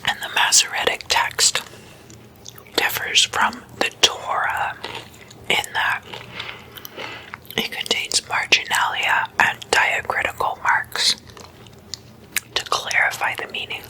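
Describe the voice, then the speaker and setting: Asmr lady whispering super slowly, really bright sharp breathy whisper, explaining stuff in short careful phrases. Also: rough recording. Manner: slow, deliberate, with measured pauses. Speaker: ASMR host.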